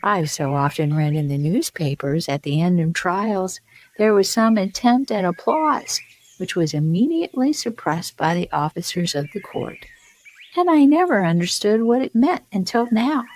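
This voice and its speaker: Storybook heroine: curious, meek young girl played by a woman, polite, earnest, lifted pitch. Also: animated, normal speed, lightly acted. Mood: thoughtful. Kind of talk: storybook character voice.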